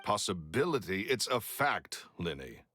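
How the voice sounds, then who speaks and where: An actor voicing an arrogant, impatient middle-aged celebrity magician in a video game, brusque and condescending. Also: male voice, fairly low pitch, big pitch swings, a little slow, pushed and bright, no echo, clean studio sound, faint music behind, full character voice. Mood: dismissive, impatient.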